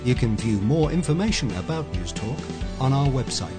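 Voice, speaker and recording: Loud music plays behind a man making a scripted podcast announcement. His voice is mid-pitched, in an announcer's role. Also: lively intonation, moderate pace.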